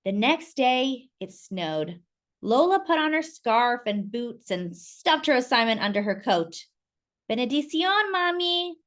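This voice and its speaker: A woman reading a children's picture book aloud with expressive, animated narration and quiet asides. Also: a little high, measured pace. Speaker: storyteller.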